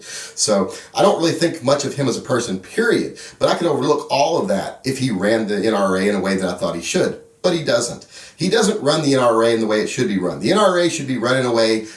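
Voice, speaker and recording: A man's voice: lowish voice, recorded from a distance. A middle-aged man with a bad cold, sniffly, giving a blunt, opinionated, unedited rant to camera as a gun channel host. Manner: blunt, opinionated, wry asides. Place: home video setup with static background. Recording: roomy sound, mic set back from speaker.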